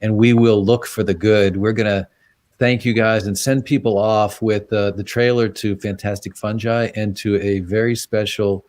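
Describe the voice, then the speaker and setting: A man's voice: fairly low pitch, steady tone, darkish tone, no room sound. A man hosting a live online conversation, thanking his guests gratefully and often restarting his sentences over a video call. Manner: halting, grateful, repeats words while starting sentences. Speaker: event host.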